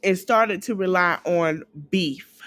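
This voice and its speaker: A woman podcast host giving blunt, casual commentary in a conversational, drawn-out way. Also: fairly low pitch, fairly bright tone, dry recording.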